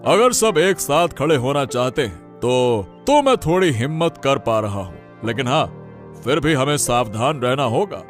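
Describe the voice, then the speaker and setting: A man plays a cautious village man in a cartoon story, mid-pitched but with a lively, varied melody. His tone is careful and measured, over faint music. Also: close to an everyday voice.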